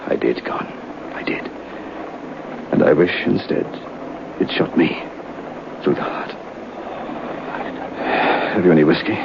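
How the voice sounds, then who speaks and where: An actor playing a ruined, despairing gentleman in an old-time radio drama, brooding, gloomy and theatrical. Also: low-pitched, animated, measured pace, gravelly, long pauses, full character voice. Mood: bitter, brooding. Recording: noisy, muffled old radio recording.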